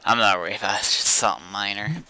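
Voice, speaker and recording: A man's voice: fairly low pitch, very fast, sharp tone. A man chatting casually while streaming a game, thinking aloud in a laid-back way. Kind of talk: casual gaming chat.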